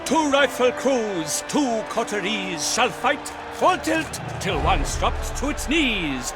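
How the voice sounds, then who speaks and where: An actor playing a pompous tournament herald in a video game, loudly declaiming to rouse a crowd. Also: male voice, very high voice, very animated, takes it slowly, heavily acted. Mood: grand, rousing. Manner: declamatory, loud public announcing. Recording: loud music behind the voice.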